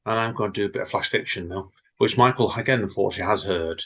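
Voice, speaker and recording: A man hosting an informal writers' readaround, chatting and reading his own flash fiction in a husky voice. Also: lowish voice, fast, a bit bright.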